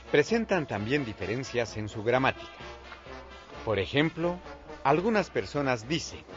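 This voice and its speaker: A man giving scripted narration for an educational video in a clear, measured way. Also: mid-range voice. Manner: clear, measured explanatory delivery. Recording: dull lo-fi voice-over with music.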